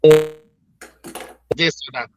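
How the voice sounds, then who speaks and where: A man's voice: a little high, fairly even intonation, very slow, a little hiss or hum. A middle-aged man with a Guyanese accent giving his political opinion as a panel guest, earnest and repetitive, with emphatic phrasing. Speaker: business executive, panel guest.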